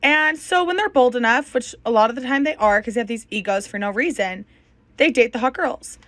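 A young woman explains her point in a chatty, matter-of-fact way, her voice high. The recording is close and dry. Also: fairly quick, fairly bright tone, no echo. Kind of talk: casual explainer.

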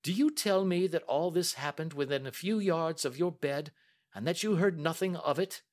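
A man's voice: a little high, normal speed, voice pushed up, close to an everyday voice. A man reading aloud and voicing a middle-aged male detective in a precise, formal, assured manner.

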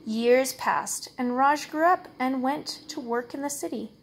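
A woman reading a picture book aloud as a storyteller, with expressive, measured, dramatic phrasing. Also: sits high, a little hiss or hum. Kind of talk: expressive read-aloud narration.

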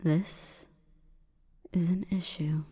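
Woman as a slow, whispery, echoing forest goddess. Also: on the low side, steady tone, warm tone, full character voice. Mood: cold, commanding. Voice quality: breathy, often whispering. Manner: slow, stately, measured. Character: imperious forest goddess monster girl, calm and menacing. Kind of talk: whispery ASMR roleplay. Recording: long reverberant echo.

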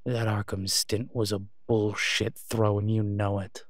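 Brash male vigilante, acted by a man, lowish voice, sarcastic, taunting banter, audio roleplay, dry studio-like sound. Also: level tone, a little slow, dry recording, lightly acted. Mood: mocking.

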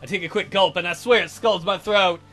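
A man's voice: high-pitched, brisk, fairly bright tone. A man hosting a let's play, reading a visual novel aloud and adding crude, irreverent asides. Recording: voice over game music. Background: game music.

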